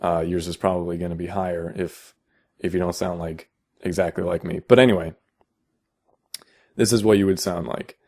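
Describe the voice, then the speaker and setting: A young man with a deep voice, explaining casually in a how-to video, halting with many fillers and restarts. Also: steady tone, warm tone. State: out of vocal shape. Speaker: vocal tutorial maker.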